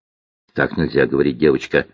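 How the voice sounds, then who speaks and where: Man playing a husky, dogmatic army cook woman. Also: fairly low pitch, sing-song, normal speed, no echo, muffled recording, pitched above the performer's own voice, exaggerated voice. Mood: scolding. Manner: sighing, earnest, parroting slogans. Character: fat, kindly but dutiful army cook woman, dogmatic. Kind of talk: audiobook character voice, children's science fiction.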